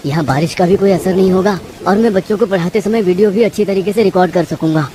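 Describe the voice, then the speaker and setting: A woman's voice: lowish voice, quick pace, background noise, close to an everyday voice. A woman voicing a kind, earnest male village schoolteacher with a caring manner, in a cartoon moral story. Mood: pleased.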